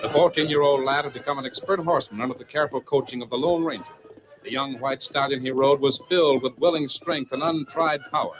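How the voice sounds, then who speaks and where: A man's voice: mid-range voice, fast, dull audio, over music. A man narrating a western adventure as a grand, declamatory old-time radio announcer.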